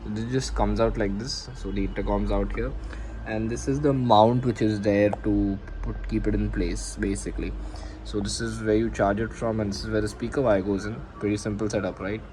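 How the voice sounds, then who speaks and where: A man with an Indian accent and a fairly low voice walks viewers through his gear in a casual, off-the-cuff vlog. He often pauses mid-sentence to find his words. The recording has a faint layer of background noise. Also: level tone, warm tone, rough recording. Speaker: motovlogger presenting own gear. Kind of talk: casual unscripted product show-and-tell.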